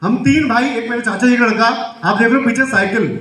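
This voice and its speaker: A man's voice: very high voice, fairly quick, fairly bright tone, recorded from a distance, slight background noise. A man from Delhi giving a motivational seminar talk, asking the audience questions as he goes. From Delhi, India. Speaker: seminar speaker. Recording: echoey hall, mic heard from afar.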